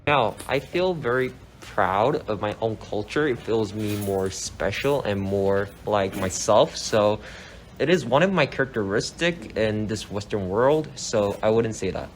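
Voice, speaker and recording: Man, Korean native speaker, fairly low voice, direct, faint background hum. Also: a little slow, low-quality recording. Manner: steady and direct, with small grammar slips. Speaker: interviewee. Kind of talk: plain interview answers.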